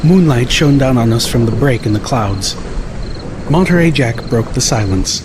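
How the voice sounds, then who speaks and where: A man's voice: medium pitch, lively intonation, moderate pace, background noise. Casting: male narrator for a first-person fiction audiobook, middle register, light background ambience.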